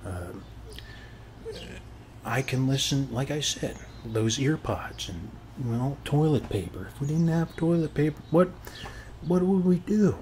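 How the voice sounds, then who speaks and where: A man performs a middle-aged suburban neighbor in an ASMR roleplay, friendly and folksy. His voice is soft and sometimes breathy, at an ordinary male pitch. He rambles slowly and haltingly, checking in as he goes, over faint background noise. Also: expressive, close to an everyday voice. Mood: musing, gentle. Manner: rambling, hesitant, folksy, often adding "okay?". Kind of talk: ASMR roleplay, soft-spoken one-sided chat.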